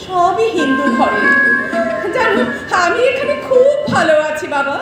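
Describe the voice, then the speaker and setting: Actress for wronged young orphan turned brave protector: very high, earnest, declamatory, stage radio play, distant in a hall. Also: female voice, sing-song, exaggerated voice. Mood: earnest, grateful. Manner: emotive, earnest declamation with drawn-out phrases. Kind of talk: stage audio drama acting in Bengali. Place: large hall, live stage performance. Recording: echoey hall from far off, music behind.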